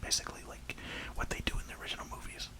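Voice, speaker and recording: A reviewer whispering an enthusiastic, rambling ASMR film review in a breathy, unvoiced voice. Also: rapid-fire. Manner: enthusiastic, rambling, emphatic repetition.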